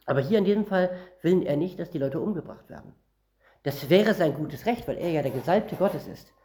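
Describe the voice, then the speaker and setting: A man's voice: a little high, animated, fairly quick, mellow. A man from northern Germany preaching a Bible-teaching sermon, reading verses aloud and explaining them word by word in a conversational way.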